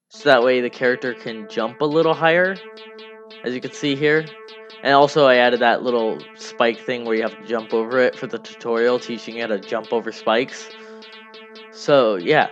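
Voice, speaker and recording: A man giving casual, unscripted devlog commentary in a rambling, halting way, often repeating himself. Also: medium pitch, a bit bright. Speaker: hobby game developer narrating a devlog. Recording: dull lo-fi mic under music. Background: music.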